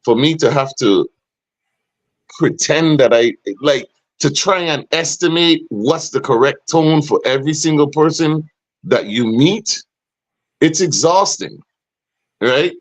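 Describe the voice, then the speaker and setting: A middle-aged man with a deep, booming voice, hosting a podcast and making emphatic, animated points. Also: slow pace, no echo, low-quality recording. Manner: animated, emphatic, rising to sharp questions. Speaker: podcast host. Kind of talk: casual podcast discussion.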